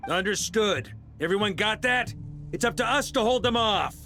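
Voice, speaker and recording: An actor playing a bold, easygoing knight commander in a video game, giving commands in a relaxed, friendly way. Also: male voice, high voice, moderate pace, soft background music. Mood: steady command. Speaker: Grandmaster of a knightly order.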